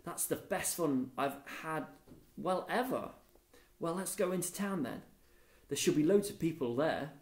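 A man voicing an upbeat, persuasive, kind and mischievous schoolgirl in a storybook, in a bright, animated manner. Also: on the high side, unhurried, voice pushed up. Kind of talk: storybook character dialogue. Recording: echoey room.

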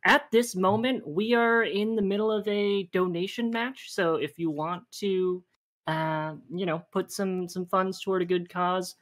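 A woman's voice: mid-range voice, fairly even intonation, unhurried. A woman hosting a casual livestream game show, explaining the rules at length with many fillers.

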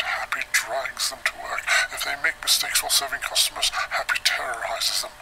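An actor playing a grim scientist survivor, narrating research logs for a game in a hushed, breathy, measured and dramatic way. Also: male voice, mid-range voice, natural voice, rough recording. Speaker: narrator of in-game cassette recordings.

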